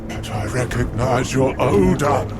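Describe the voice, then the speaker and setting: A man's voice: medium pitch, animated, heavy background noise, lightly acted. A man playing a covetous old temple elder spirit, a grandiose and menacing villain in a fantasy game. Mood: suspicious, angry. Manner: drawn-out, grandiose, archaic.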